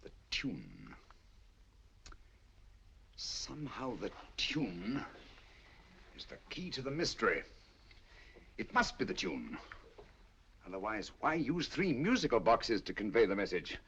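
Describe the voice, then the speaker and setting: An actor playing a shrewd, calm detective, speaking in a measured, deliberate way in a 1940s film. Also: male voice, mid-range voice, gravelly, a little hiss or hum, exaggerated voice. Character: shrewd calm male detective, middle-aged. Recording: dull lo-fi 1940s film soundtrack.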